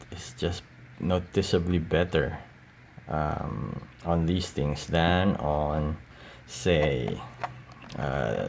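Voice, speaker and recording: A man giving a casual, unscripted show-and-tell in a hesitant, halting way. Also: a bass voice, creaky. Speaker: collector showing cassette tapes. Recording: audible steady background noise.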